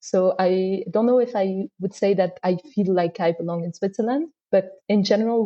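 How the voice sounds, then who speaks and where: A young woman from Afghanistan, a scientist answering interview questions thoughtfully with many fillers. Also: lowish voice. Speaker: guest, PhD scientist. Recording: dull lo-fi audio.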